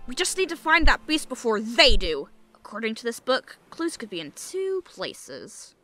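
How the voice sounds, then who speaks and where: A woman's voice: a little high, normal speed, full character voice. A woman voicing an earnest, bookish young boy adventurer in a cartoon comic dub, with music behind. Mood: eager, serious. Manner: bookish, excitable.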